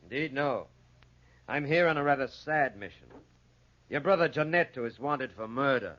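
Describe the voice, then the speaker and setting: An actor in a 1940s radio drama playing a dry, knowing country sheriff with a measured, pointed manner. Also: male voice, mid-range voice, fairly bright tone, natural voice. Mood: grave, official. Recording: muffled 1940s radio recording.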